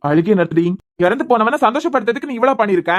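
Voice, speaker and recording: Woman playing a husband, young, bitter, sarcastic, fast-talking, repeats himself in anger. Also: on the high side, animated, lightly acted. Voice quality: sarcastic, bitter, repeating words when angry. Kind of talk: amateur audio drama.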